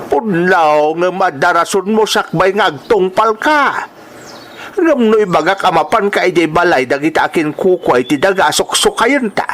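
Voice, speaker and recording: A man's voice: high-pitched, animated, moderate pace, fairly bright tone. A man playing a stern, suspicious farmer father in a radio-style drama, scolding and questioning, with an Ilocano accent.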